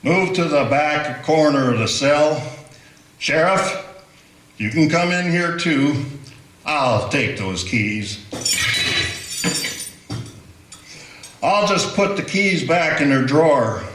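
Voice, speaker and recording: A man voicing a strange, calm prisoner who speaks in cryptic, preachy riddles in a radio play. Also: on the high side, slow pace, reverberant. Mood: commanding.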